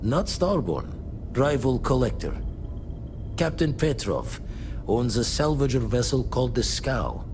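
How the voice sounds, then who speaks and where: An actor playing a plainspoken, weary spacefarer in a video game, with clipped, folksy phrasing. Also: male voice, mid-range voice, big pitch swings, measured pace, noisy background. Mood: practical, confiding.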